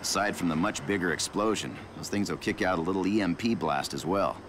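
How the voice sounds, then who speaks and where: A friendly wasteland mechanic from a video game, played by a man, speaks in a low voice. He sounds familiar and assured, with clear outdoor noise behind him. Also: brisk, close to an everyday voice. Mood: pleased. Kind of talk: video game character acting.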